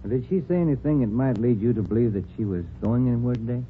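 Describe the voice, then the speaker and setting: Actor as a steady detective on tinny old radio. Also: male voice, medium pitch, quick pace, natural voice. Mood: inquiring. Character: easygoing, steady male police detective partner. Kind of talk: 1940s radio acting. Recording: tinny, slightly noisy 1940s radio recording.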